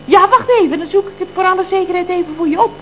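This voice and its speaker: An actress playing a chatty, exclamatory Dutch office secretary in the style of 1970s radio comedy. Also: female voice, extremely high pitch, fairly even intonation, very fast, a bit bright. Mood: gushing, gossipy. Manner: gossipy, exclamatory. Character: chatty, gushing office secretary, drily puzzled by her boss. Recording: dull, noisy old radio recording with hum.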